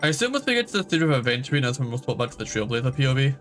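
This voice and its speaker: Male streamer, congested, quick and chatty, thinking aloud over faint music. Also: mid-range voice, big pitch swings, a bit bright. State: stuffy nose. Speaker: game streamer. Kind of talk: casual live gameplay commentary.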